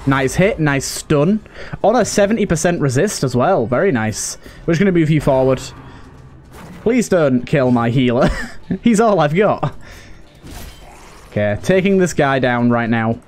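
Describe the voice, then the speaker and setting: A man's voice: fairly high pitch, expressive, unhurried, long pauses, darkish tone. A man giving casual live video game commentary, thinking aloud and chatting to the characters as he plays. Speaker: host of a video game let's play. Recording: background game music. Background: game music.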